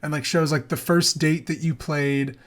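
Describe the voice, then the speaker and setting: Higher-pitched man hosting a casual chat. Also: fairly even intonation, moderate pace, fairly bright tone. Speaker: podcast host. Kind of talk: casual gaming podcast chat.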